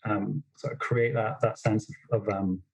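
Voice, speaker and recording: A young man telling stories as a podcast guest, in a self-described monotonous voice with lots of fillers. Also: on the low side, unhurried, slightly rough, poor audio. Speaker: snowboard instructor trainer, podcast guest. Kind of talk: casual interview storytelling.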